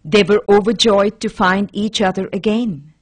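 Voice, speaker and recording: A woman's voice: mid-range voice, steady tone, moderate pace, husky. A woman narrating a children's fairy tale as a scripted storyteller.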